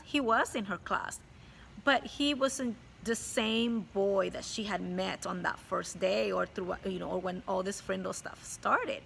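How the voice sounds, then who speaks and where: Bright, gravelly-voiced teacher reading aloud. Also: female voice, mid-range voice, slight background noise. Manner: warm, explains to children directly. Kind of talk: read-aloud storytelling with commentary.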